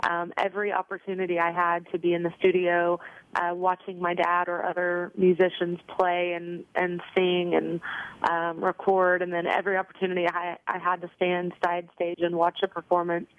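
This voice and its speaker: Young woman, singer, chatty interview answers, lots of ums, low-ish voice, tinny phone-line sound. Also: completely flat delivery, normal speed, no echo. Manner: chatty, many ums and you knows. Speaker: country singer, interview guest.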